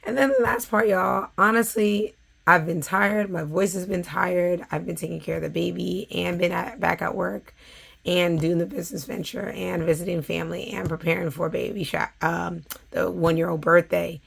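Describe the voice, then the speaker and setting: A woman, talking casually to her viewers in a hoarse, scratchy voice, with plenty of fillers. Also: fairly low pitch, steady tone, moderate pace, fairly bright tone. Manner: chatty, with frequent fillers. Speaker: vlogger. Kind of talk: casual chat.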